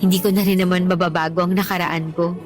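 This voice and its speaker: A woman's voice: fairly low pitch, level tone, fast talker, natural voice. A woman acting as a candid, talkative teacher and single mother on a date in a Tagalog radio drama. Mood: earnest, confiding. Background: background music.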